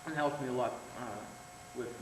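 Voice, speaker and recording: A man's voice: mid-range voice, normal speed. Hesitant teenage boy in an echoey room. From the Netherlands. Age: teens. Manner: hesitant, many fillers and restarts. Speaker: exchange student. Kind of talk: short self-introduction speech. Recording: echoey room, mic far off, noisy.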